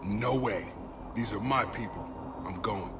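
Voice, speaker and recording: A man's voice: fairly low pitch, a little slow, noisy background, close to an everyday voice. An actor playing an injured male soldier who is stubborn and speaks in clipped lines. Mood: determined. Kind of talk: video game voice acting.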